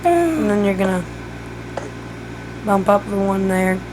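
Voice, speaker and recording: A woman's voice: mid-range voice, lively intonation, slow pace, noisy background. A woman who is losing her voice gives a casual step-by-step game tutorial, thinking aloud as she builds. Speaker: gaming tutorial creator.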